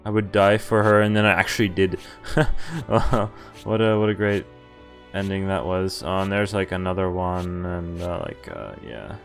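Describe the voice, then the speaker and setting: A man giving casual, halting livestream commentary as a game streamer, often trailing off mid-thought. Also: low-pitched, vocal fry, soft background music.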